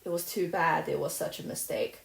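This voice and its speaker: A woman's voice: deep voice, steady tone, a little fast, gravelly, echoey room. A woman reading aloud, voicing a blunt, outspoken middle-aged neighbor woman who speaks her mind. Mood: gloomy, prying. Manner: outspoken, disapproving.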